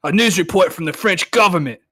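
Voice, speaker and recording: Man putting on an urgent, high-pitched TV news anchor. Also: moderate pace, a bit bright, soft background music. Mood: grave, urgent. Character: urgent, solemn TV news anchor reading emergency bulletins. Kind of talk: dramatic news bulletin read from a comic.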